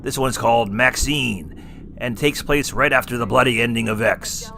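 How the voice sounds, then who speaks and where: A man doing a bright gravelly clown host. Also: medium pitch, normal speed, a little hiss or hum. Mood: wisecracking. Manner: punny, teasing. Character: male clown horror host, wisecracking. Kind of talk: scripted comedic review banter.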